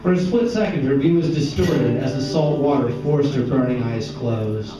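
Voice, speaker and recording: A man's voice: mid-range voice, moderate pace. Echoey, distant man reading fiction aloud. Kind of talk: dramatic literary narration, read aloud. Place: echoey indoor art gallery. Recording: echoey room, distant mic, dull sound, music behind. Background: plucked guitar music.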